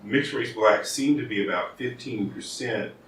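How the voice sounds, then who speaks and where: American man, law professor in an interview, lower voice, thoughtful, restarts often, roomy echo, set back from the mic, faint room hiss. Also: moderate pace, fairly bright tone. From United States. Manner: thoughtful, with frequent restarts and repeated words. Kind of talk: conversational interview. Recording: echoey room, mic at a distance.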